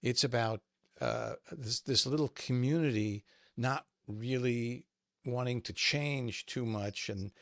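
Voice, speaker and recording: A man interviews a filmmaker. He asks long, winding questions in a gravelly voice. The sound is dry and close. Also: mid-range voice, slow, a bit bright, dry recording.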